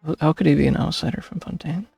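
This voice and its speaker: Casting: young man, game streamer, strongly creaky voice, casual commentary, dry sound with faint music behind. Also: on the high side, fast, a little mellow.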